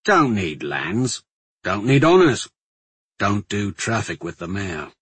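A man's voice: on the low side, very animated, slow pace, a bit bright, slightly rough, dry recording, heavily acted. A man voicing a loyal, wry guardsman who talks in clipped, folksy phrases in an audiobook story. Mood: plain, resigned. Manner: clipped, folksy, dropped-subject speech. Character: gruff, plain-spoken male guardsman, loyal and wry.

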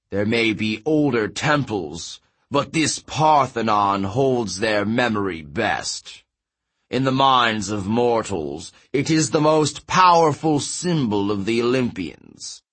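A man's voice: medium pitch, fairly bright tone. A man voicing a pompous, commanding giant king in a growling fantasy audiobook voice. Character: imperious giant king, booming, scornful, commanding.

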